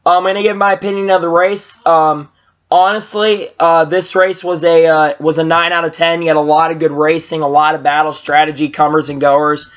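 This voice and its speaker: A young man talks into a webcam in a small room and rambles through a race recap, with plenty of fillers and restarts. His voice sits high and sounds a bit strained. The audio is dull and lo-fi. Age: young adult. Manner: rambling, lots of fillers and restarts, gets excited. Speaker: vlogger reviewing races. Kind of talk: casual race recap vlog. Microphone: webcam at low angle.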